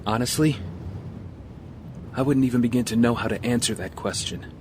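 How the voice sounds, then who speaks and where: An actor playing a weary, guarded castaway with a secret life, speaking in a measured, understated way. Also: male voice, mid-range voice, a little mellow, a little hiss or hum, natural voice. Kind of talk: audio drama acting.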